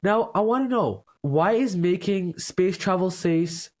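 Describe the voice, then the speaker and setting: A man's voice: high voice, lively intonation, unhurried, darkish tone. A man hosting a podcast, reading a polished scripted intro and asking prepared interview questions. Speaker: podcast host.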